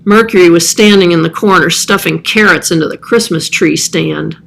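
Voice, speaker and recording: A woman's voice: fairly low pitch, normal speed, creaky, fairly bright tone. A woman reading a children's story aloud as scripted narration. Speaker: storybook reader.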